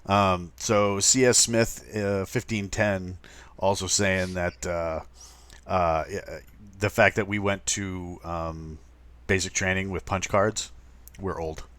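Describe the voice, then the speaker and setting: A man co-hosting a podcast, talking loudly with many hesitant fillers as he reads chat comments. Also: low-pitched, steady tone, slow pace, clear, resonant tone, no room sound. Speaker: podcast co-host reading chat. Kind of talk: casual chat.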